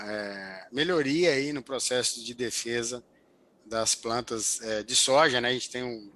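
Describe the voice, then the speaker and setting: A man's voice: mid-range voice, bright, forceful tone. An older man, an agricultural researcher, explaining things in a remote interview over a headset, with many fillers and restarts. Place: home office indoors. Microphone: headset over video call.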